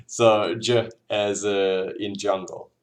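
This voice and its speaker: A man's voice: fairly low pitch, fairly even intonation, slow. A man with a Hungarian accent, a native Hungarian speaker, teaching an informal language lesson in a hesitant, explanatory way with repeated examples. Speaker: language tutor.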